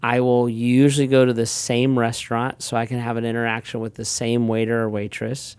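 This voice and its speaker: A middle-aged man, a pastor, telling everyday stories in a relaxed chatty way with frequent restarts. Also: medium pitch, a little fast, clear, resonant tone, no room sound. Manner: relaxed storyteller, frequent restarts and quoted asides. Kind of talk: casual conversational talk.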